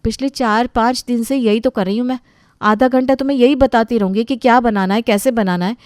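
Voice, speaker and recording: A woman's voice: fairly high pitch, a little fast, a little mellow, clean tone, no room sound, studio quality, lightly acted. A woman playing a practical, exasperated young housewife who protests in a dramatized family story.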